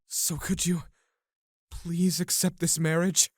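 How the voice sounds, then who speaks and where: A man's voice: fairly high pitch, flat delivery, slow, slight background noise. A man voicing a nervous, earnest young man who pleads for permission to marry in a manga dub. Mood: pleading. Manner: flustered, pleading.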